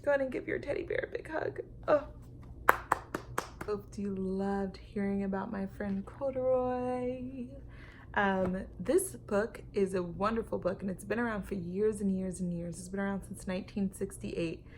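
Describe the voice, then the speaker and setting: A woman reads aloud for young children in an expressive storytime style. She stresses words with animation and talks to her listeners in a coaxing way. Noticeable noise runs in the background. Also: medium pitch, normal speed.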